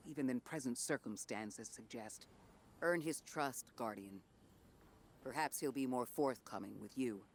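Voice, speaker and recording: An actor playing an analytical male ally with a measured, suspicious manner. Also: mid-range voice, slight background noise, natural voice. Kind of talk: video game voice acting.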